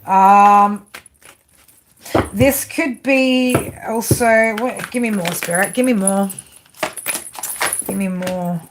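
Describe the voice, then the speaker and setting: A woman's voice: medium pitch, slow pace, long pauses. Australian woman, tarot reader on a livestream, casual swearing, lots of fillers, audible background noise. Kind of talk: live-stream tarot reading.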